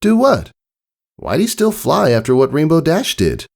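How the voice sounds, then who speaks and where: Man doing a squeaky, folksy young country filly. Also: wildly swooping pitch, normal speed, creaky, a little mellow, exaggerated voice. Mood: curious, probing. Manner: folksy, blunt, teasing. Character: young country girl pony, giggly, blunt and curious.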